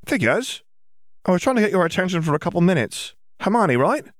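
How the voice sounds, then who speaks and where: A man's voice: sits high, very animated, brisk, no room sound, studio quality, pitched above the performer's own voice. A man voicing a friendly, forward young schoolgirl witch who talks in a chatty, eager way, for an audiobook. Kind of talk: audiobook character voice.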